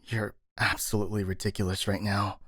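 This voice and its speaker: Skeptical, flustered male ninja voiced by a man for ASMR audio drama; lowish pitch, sighing delivery, very dry close sound. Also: level tone, fairly quick, a bit bright, slightly rough, dry recording. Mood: exasperated.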